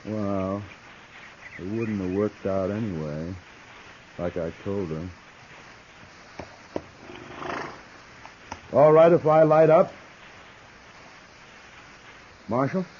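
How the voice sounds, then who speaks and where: Slow, sardonic western outlaw. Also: male voice, on the low side, expressive. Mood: cold resignation. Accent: American. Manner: laconic, unhurried. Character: cold, sardonic murderous outlaw, grown man. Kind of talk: 1950s radio western acting. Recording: muffled, noisy 1950s radio recording.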